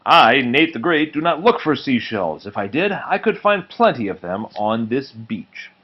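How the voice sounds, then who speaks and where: Male storybook reader, lively pitch movement, slightly dull home sound. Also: measured pace, slightly rough. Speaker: read-aloud storyteller. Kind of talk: children's book read aloud to a small child. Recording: dull muffled home recording, faint noise.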